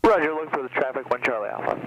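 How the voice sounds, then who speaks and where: A man's voice: medium pitch, lively intonation, very fast. Male pilot on noisy aircraft radio. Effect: aviation radio. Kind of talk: brief radio readbacks. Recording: aviation radio with cockpit noise.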